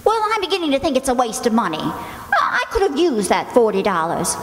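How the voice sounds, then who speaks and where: Female actor as fretful nagging wife, radio comedy. High, very animated, echoey hall. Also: fairly quick. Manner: fretful, complaining, sighing "oh". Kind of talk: old-time radio comedy acting. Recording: echoey hall, long reverb, background noise.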